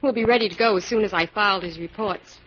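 A woman's voice: medium pitch, fast, a little hiss or hum, lightly acted. A woman playing a keen, loyal crime-fighting partner, eager and attentive, in old-time radio style. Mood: attentive, curious. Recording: muffled vintage radio recording.

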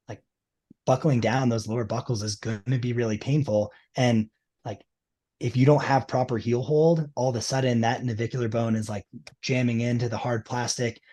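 A man's voice: mid-range voice, dark tone. A man with a mid-range voice, explaining things keenly and at length. He talks casually and fills his sentences with "you know" and "like". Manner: enthusiastic, explanatory, many fillers. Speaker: ski boot liner maker representative. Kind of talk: casual interview chat.

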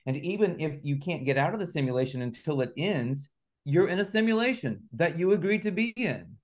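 A man's voice: on the high side, big pitch swings, brisk. Man, interview guest, conversational exposition, articulate, emphatic, assertive delivery. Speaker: interview guest, writer and researcher. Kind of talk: conversational exposition of spiritual and speculative ideas.

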